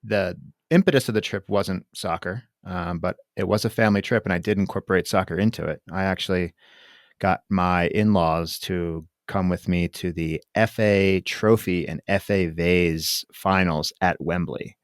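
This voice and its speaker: An American man co-hosts a conversational podcast with a low, deep voice. He is recorded dry, without room sound. His phrasing is measured and a little hesitant, but relaxed in wording. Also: no echo. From United States. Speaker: podcast co-host. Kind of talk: casual conversational.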